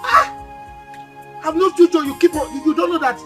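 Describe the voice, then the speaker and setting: An actor playing a protective uncle who scolds loudly and stammers when angry. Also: male voice, very high-pitched, lively intonation, normal speed, loud music behind the voice. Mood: mocking, angry.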